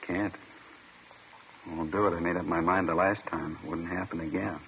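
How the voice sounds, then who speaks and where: An American actor playing a worn-down burglary suspect who repeats himself defensively and pleadingly in 1950s radio drama style. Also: male voice, deep voice, steady tone, normal speed. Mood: weary pleading. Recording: muffled old radio recording with hiss.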